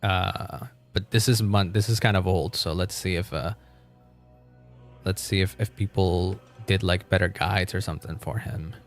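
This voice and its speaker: Low-pitched man streaming a game. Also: steady tone, moderate pace, soft background music. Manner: thinking aloud, informal, swears casually. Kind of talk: casual live-stream chat while gaming.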